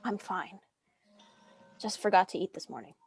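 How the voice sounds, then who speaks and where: A woman's voice: medium pitch, animated, normal speed, slightly rough, soft background music. A woman playing a proud, defiant young nun in a dramatic script reading, commanding and emphatic. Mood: curt, guarded.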